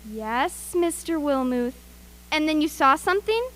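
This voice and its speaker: A woman playing an eager, brisk radio field reporter in a live news report from a radio play. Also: sits high, big pitch swings, fairly bright tone, heavily acted, poor audio. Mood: impatient, polite.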